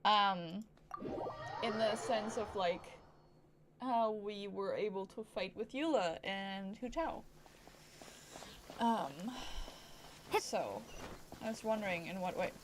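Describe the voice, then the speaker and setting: A woman giving casual live gameplay commentary as a streamer, playful and rambling, with excited exclamations. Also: mid-range voice, slow pace, long pauses, background noise. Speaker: game streamer. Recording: game music behind voice. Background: video game music.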